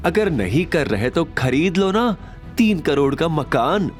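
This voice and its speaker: Audio drama villainess: older mother-in-law played by a man, haughty, scornful, voice pushed high. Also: sing-song, measured pace, soft background music. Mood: irritated taunting. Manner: taunting, scornful.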